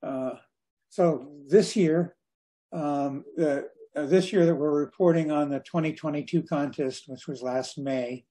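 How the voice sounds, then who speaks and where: An older man presents slides informally on a video call. His voice sits a little high for a man and has a very mellow, relaxed tone. He speaks conversationally, with a halting delivery. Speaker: guest speaker at a radio club.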